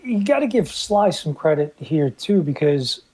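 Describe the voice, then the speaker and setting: A man's voice: a little high, sing-song, unhurried, mellow, breathy edge, low-quality recording. A man in his fifties with a New York accent, a native Italian speaker, telling personal stories casually as a podcast guest. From the Bronx, New York, United States. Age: 50s. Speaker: guest co-host on a film podcast.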